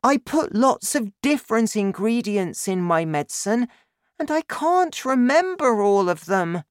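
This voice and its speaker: A woman's voice: a little high, big pitch swings, measured pace, no room sound, clean studio sound, pitched above the performer's own voice. A woman voicing a polite, earnest young boy in a children's storybook, questioning and pleading in a worried way.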